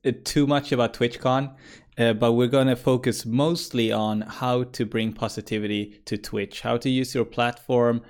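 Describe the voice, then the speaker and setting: A man hosting a podcast, welcoming his guest in a friendly way, often pausing with fillers and repeating words as he goes. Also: medium pitch, steady tone, a little mellow, clean tone. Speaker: podcast host. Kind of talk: casual interview hosting.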